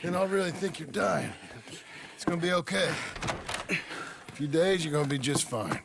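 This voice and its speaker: An American man playing a gruff, weary outlaw turned homesteader in a video game cutscene, with a gravelly voice. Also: a little high, moderate pace, background noise. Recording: music behind the voice.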